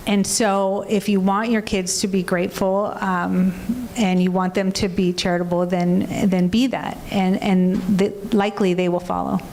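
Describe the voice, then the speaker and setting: A woman in her forties talks earnestly at a panel, her thoughts dotted with ums and restarts. Her pitch sits mid-range. A faint hiss sits behind her. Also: a little mellow. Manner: earnest, many fillers. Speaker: panel guest. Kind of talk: reflective panel talk.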